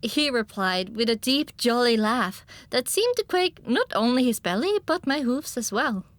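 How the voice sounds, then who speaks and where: A woman narrating a scripted first-person story for an audiobook in an expressive storytelling manner. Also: a little high, unhurried, no room sound.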